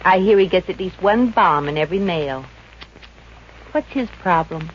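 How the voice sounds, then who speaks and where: A wisecracking, street-smart showgirl played by an actress in a 1950s radio comedy. She is breezy and sure of herself, and her pitch moves around a lot. The old recording is muffled, with faint noise. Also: expressive, moderate pace, natural voice. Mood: sassy. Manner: breezy, slangy, sassy. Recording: dull, noisy old-time radio recording.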